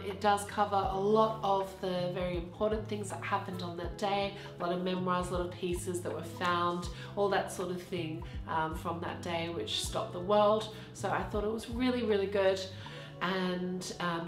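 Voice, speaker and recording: A croaky woman with a cold narrates her unboxing vlog in a relaxed, chatty way, gushing over every detail of the packaging. Her voice carries a roomy echo, and music plays clearly behind her. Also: on the low side. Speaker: vlogger. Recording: roomy sound with background music.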